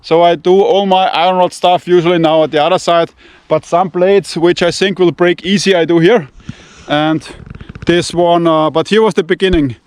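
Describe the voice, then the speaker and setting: A man's voice: high-pitched, fairly even intonation, moderate pace, darkish tone, clear, resonant tone, no room sound. A man with an Austrian German accent, a non-native English speaker, talks bluntly and profanely in broken English while walking through fields and vineyards. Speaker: knife-testing channel host. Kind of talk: casual walking vlog. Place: outdoors among vineyards and fields.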